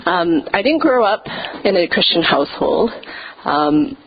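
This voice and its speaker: A woman pastor speaks to her congregation with a somewhat low voice, telling her own faith story in a relaxed, conversational way full of small pauses. The sound is a dull, lo-fi church recording taken through the hall's microphone. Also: husky. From Canada. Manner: casual and conversational, with frequent hesitations. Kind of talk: personal testimony at a church service. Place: church sanctuary.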